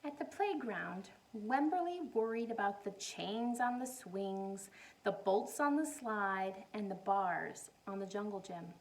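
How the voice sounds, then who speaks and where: A woman's voice: mid-range voice, animated, a little slow, rough recording. A woman reading a picture book aloud expressively to young children, adding simple explanations as a teacher would.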